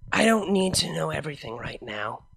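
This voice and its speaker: A reader puts on a female unicorn DJ pony from a fan fiction story. She sounds sincere and devoted, with a deliberate, tender delivery. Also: mid-range voice, sing-song, gravelly, a bit bright, husky, exaggerated voice. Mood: reassuring. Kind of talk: dramatic fan fiction reading.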